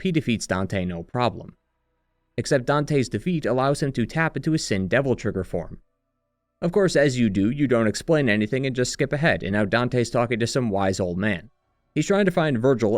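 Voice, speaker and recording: A man's voice: medium pitch, dry recording, studio quality. A man narrating a scripted retrospective as a video essayist, with sardonic, wry commentary. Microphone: close, dry voice-over mic.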